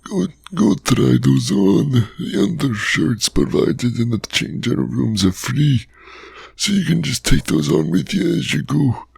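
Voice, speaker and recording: A man's voice: medium pitch, sing-song, a little slow, vocal fry, darkish tone, husky, dry recording, voice pushed up, heavily acted. A man voicing a chatty rustic male shopkeeper with a jovial salesman's patter and homely dialect. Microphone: close ASMR microphone.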